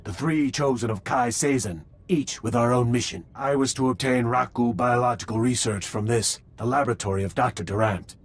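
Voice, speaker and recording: A man playing a devoted alien cult warrior in a video game, grave and formal, with a husky voice. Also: medium pitch, moderate pace, natural voice. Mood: solemn, fervent.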